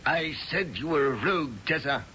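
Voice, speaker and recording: An actor playing a shrewd, overbearing army colonel in a radio drama, with gruff, pointed authority. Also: male voice, fairly high pitch, lively intonation, moderate pace, pushed and bright. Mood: menacing. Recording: dull old radio recording, faint noise.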